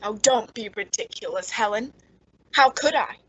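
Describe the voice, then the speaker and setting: A woman's voice: on the high side, normal speed, a bit bright, slightly rough, no room sound, dull audio. A woman playing a lonely, frightened, unstable spinster in an old-time radio suspense drama, with a nervous, intense manner. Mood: guarded, nervous.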